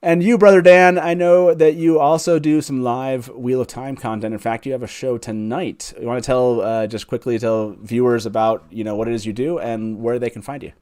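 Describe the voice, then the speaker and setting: A man's voice: mid-range voice, steady tone, moderate pace, hardly any pauses, darkish tone, clean tone. A man hosting a fan show in casual live chat, informal and self-deprecating as he talks. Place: online group video call, live stream.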